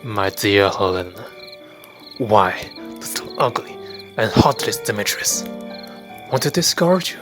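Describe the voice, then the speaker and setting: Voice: medium pitch, sing-song, measured pace, pauses often, music in the background. A performer playing a fervent, love-struck young man in an amateur radio drama, gushing and melodramatic. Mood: smitten.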